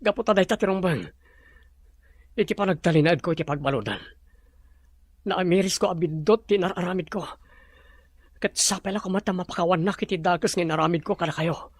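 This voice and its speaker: A man plays a reformed prisoner, a contrite elder brother in a Filipino audio drama. His voice is high for a man and sounds dry and close. The delivery is earnest and full of remorse. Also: fairly quick, pauses often, dry recording. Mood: remorseful.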